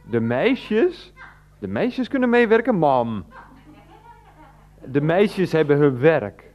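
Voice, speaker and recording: A man's voice: fairly high pitch, sing-song, slow, faint music behind. An actor in a Dutch radio play as a grown son pleading and stammering on the phone to his mother. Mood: coaxing. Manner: stammering, coaxing, often interrupted. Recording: dull, band-limited radio recording.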